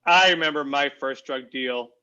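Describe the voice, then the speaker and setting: Man as gruffly impatient drug dealer, thirties, commanding, sharp bright voice, comedy table read, dull audio. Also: medium pitch, normal speed. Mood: impatient, amused.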